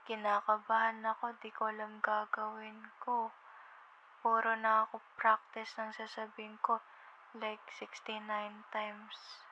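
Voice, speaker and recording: A woman's voice: a little high, completely flat delivery, unhurried, pushed and bright, dull audio, slight background noise. A woman playing a sleepy, affectionate young girlfriend on a late-night call, with a Filipino accent and a tender, hesitant, intimate ASMR delivery. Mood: tired, anxious confiding. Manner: drowsy, tender, hesitant, slips between Tagalog and English.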